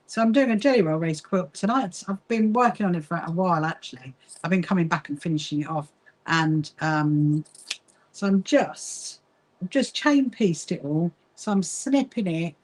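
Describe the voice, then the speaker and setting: Brief: woman host, Kentish-Sussex accent, lowish voice, relaxed chatty livestream talk, greets viewers as she sews. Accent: Kentish mixed with Sussex. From south coast of England. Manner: chatty, greets viewers and answers their comments. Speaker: livestream host of a quilting association. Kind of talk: casual livestream chat while sewing.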